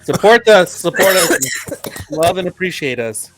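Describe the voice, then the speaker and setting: A man's voice: sits high, expressive, unhurried, fairly bright tone, faint music behind, low-quality recording. A man co-hosting a casual movie podcast, joking and teasing in loose banter. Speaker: podcast co-host.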